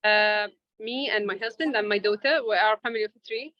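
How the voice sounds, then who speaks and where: A woman interview guest speaking English as a second language, answering informally and haltingly as she searches for words. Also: medium pitch, no echo. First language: not English. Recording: dull lo-fi audio.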